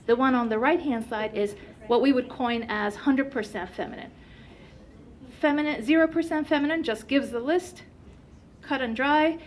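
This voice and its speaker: A woman whose first language is Turkish, giving a conference presentation in an animated way with many fillers. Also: a little high, slight background noise.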